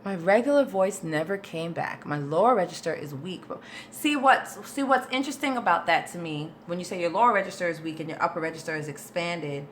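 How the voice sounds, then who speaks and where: A woman's voice: low-pitched, a bit bright, slight background noise. A woman vocal coach in a casual livestream Q&A, reading viewer questions and answering them in a chatty, informal way, with patient step-by-step explanations. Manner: chatty and informal, with many fillers.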